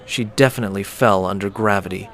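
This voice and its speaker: A man reading a dramatic scripted story as a storyteller, with deliberate, theatrical phrasing. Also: on the low side, fairly even intonation, a bit bright. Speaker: storyteller and host.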